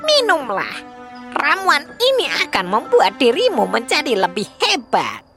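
A woman's voice: high-pitched, wildly swooping pitch, a little slow, music in the background, full character voice. A woman voicing a demanding, menacing old witch in a children's storybook, with a theatrical, threatening delivery. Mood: eager, commanding.

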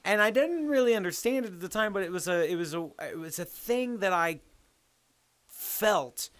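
A man hosting a casual family conversation as interviewer, enthusiastic and quick to interject. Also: sits high, expressive, a bit bright, clear, resonant tone. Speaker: host interviewing older relatives.

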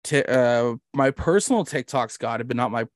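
A man's voice: medium pitch, lively intonation, moderate pace, no room sound, studio quality. A man with a Canadian accent hosting a podcast in casual chat, rambling, jokey and self-deprecating. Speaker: podcast host.

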